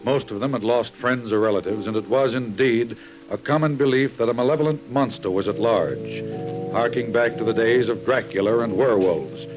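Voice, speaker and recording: A man's voice: mid-range voice, normal speed, poor audio. A man narrating a true crime case in a serious, formal 1950s radio style. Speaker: magazine editor, narrator host. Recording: vintage radio recording with music. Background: music.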